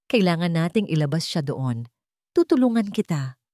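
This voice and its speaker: A woman's voice: sits low, sing-song, moderate pace, warm tone, no echo, studio quality. A woman voicing an anxious but determined young man from a rich family, in earnest story narration. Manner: shocked, earnest.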